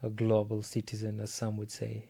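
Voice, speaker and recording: A man's voice: on the low side, completely flat delivery, normal speed, creaky, mellow, breathy edge. A man hosting an interview, a native Swahili speaker, who asks questions and chimes in with short agreements. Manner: brief, affirming interjections. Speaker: podcast host. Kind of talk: interview host.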